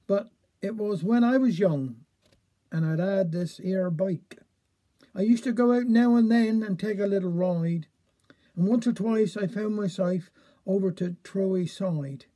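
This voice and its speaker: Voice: mid-range voice, measured pace, dark tone, close to an everyday voice. A reader voicing a stern, awkward father in a hesitant, confiding way, in a comic verse recital.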